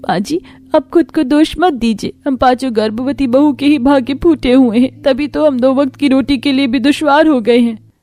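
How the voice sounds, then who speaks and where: A woman's voice: high-pitched, normal speed, mellow, no room sound, pitched above the performer's own voice, natural voice. Woman as young pregnant daughter-in-law, poor, dutiful, loyal, earnest, respectful, cartoon story. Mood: sad, consoling.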